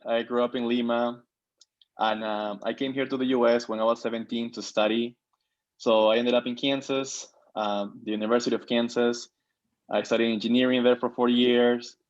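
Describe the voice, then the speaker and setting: A man's voice: medium pitch, flat delivery, moderate pace, muffled recording. A man in his thirties, from Peru, introducing himself at a board meeting on a video call, with many fillers and restarts. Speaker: board member. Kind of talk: virtual meeting remarks.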